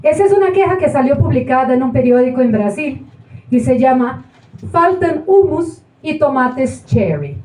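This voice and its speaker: A woman's voice: sits high, very animated, moderate pace, warm tone. A woman with a Colombian accent, a stage actress giving an ironic, theatrical live reading to an audience in a small theater. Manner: ironic storyteller, mocking diminutives. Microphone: far camera. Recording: roomy hall from a far camera.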